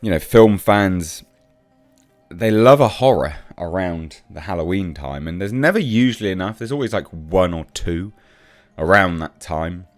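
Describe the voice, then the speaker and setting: A man's voice: low voice, animated, measured pace, no room sound, soft background music. A man giving casual, off-the-cuff commentary on film news as a reviewer, blunt and sceptical in manner. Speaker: film reviewer, channel host.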